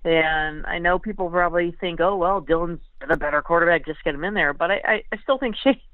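Over a narrow, tinny phone connection, a man with a fairly high voice gives casual sports commentary as a guest writer. He thinks aloud in a conversational way, and the sound is dry. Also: fairly quick, no echo.

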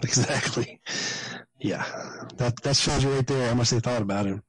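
A man's voice: medium pitch, normal speed, poor audio. A man co-hosting a podcast, chatting casually in a colloquial, sardonic way. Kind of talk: casual chat.

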